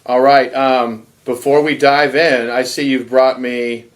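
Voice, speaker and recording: A man's voice: mid-range voice, little pitch movement, a little slow. A man hosting a casual interview, easygoing and joking with his guests. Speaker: podcast host.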